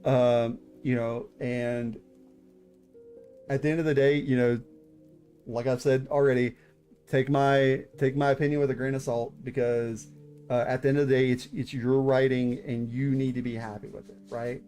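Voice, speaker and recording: Male streamer, 30s, mid-pitched, halting casual chat, soft music bed. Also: little pitch movement. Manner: hesitant, many fillers and restarts, reads chat aloud. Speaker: VTuber streamer hosting writing critiques.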